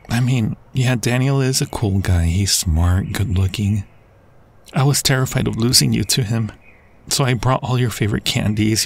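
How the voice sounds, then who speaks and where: A man's voice: mid-range voice, vocal fry, husky. A young man acting a devoted, anxious friend in love in an intimate ASMR roleplay, speaking earnestly and hesitantly.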